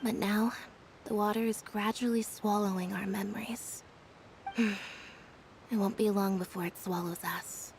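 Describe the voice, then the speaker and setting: A woman voicing a quiet, melancholy young woman with a flat, soft delivery that drops to a whisper at times. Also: medium pitch, measured pace, creaky, noisy background. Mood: wistful.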